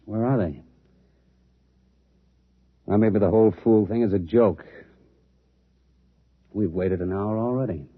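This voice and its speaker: An actor playing an irritated, suspicious spaceship officer in a 1950s radio drama. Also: male voice, lowish voice, a little slow, pauses often. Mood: irritated, impatient. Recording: tinny vintage radio recording.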